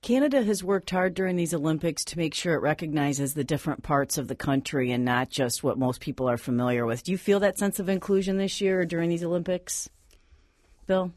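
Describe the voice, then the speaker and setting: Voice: mid-range voice, a little fast, a little mellow, dry recording, clean studio sound. A radio host interviewing guests in a conversational way.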